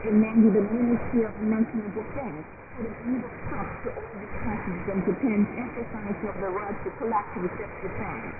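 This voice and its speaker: A woman's voice: medium pitch, steady tone, moderate pace, hardly any pauses. Woman reading news over noisy shortwave radio. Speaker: news reader. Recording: noisy, narrow-band shortwave radio broadcast.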